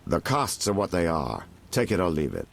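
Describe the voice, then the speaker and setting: A man's voice: deep voice, wildly swooping pitch, moderate pace, creaky, heavily acted. An actor playing a shrewd, mercenary male informant in a video game, haggling and insinuating in a wry way.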